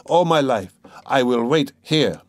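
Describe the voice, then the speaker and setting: A man's voice: medium pitch, animated, measured pace, no room sound, voice pushed up, lightly acted. A man voicing an obsessive, menacing tormentor in an audiobook, feverish, insinuating and emphatic. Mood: cold menace. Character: obsessive, menacing male tormentor, pleading then coldly mocking. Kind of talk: dramatic audiobook character reading.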